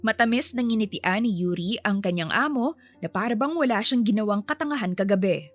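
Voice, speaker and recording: A woman's voice: mid-range voice, animated, a little fast. A woman storyteller reading a scripted romance story as narration. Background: faint music.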